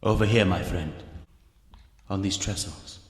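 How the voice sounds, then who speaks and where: A feverish, husky, half-mad aristocrat. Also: male voice, low-pitched, unhurried. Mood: mournful, eerie. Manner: theatrical, feverish, swinging between hushed and frantic. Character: tormented, half-mad male aristocrat, last of a cursed line. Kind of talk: melodramatic radio drama acting.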